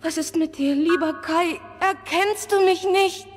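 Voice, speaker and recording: A woman's voice: extremely high pitch, a little slow. An actress playing a loving young girl in a fairy-tale audio drama, speaking with emotion and pleading. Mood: anxious, pleading. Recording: long hall reverb, faint music behind.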